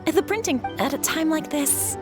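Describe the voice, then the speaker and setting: A woman's voice: high-pitched, moderate pace, natural voice. A woman playing a polite, apologetic publishing clerk in a video game, courteous and a little hesitant. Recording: game music behind.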